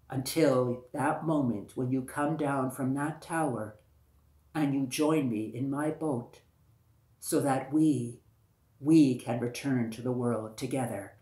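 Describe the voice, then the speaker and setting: A devoted young prince played by a woman storyteller speaks in a roomy echo. The delivery is slow, low and earnest, a patient folktale suitor repeating his promises with yearning. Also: dark tone. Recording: echoey, roomy sound.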